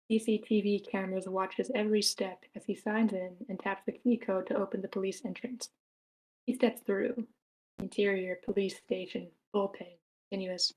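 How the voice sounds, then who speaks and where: A woman's voice: mid-range voice, darkish tone, poor audio. A woman reading out scripted stage directions as the narrator of a screenplay table read.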